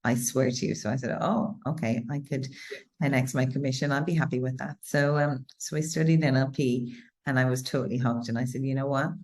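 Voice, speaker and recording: A woman's voice: very deep voice, fairly even intonation, a little fast, darkish tone. Irish woman, interviewer, chatty, repeats words, video call. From Ireland.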